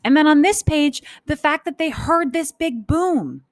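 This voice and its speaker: A woman's voice: high voice, lively intonation, measured pace, no room sound, clean studio sound. A woman teacher reading a story aloud for children and explaining it informally as she goes. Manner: informal, explanatory, acts out thoughts.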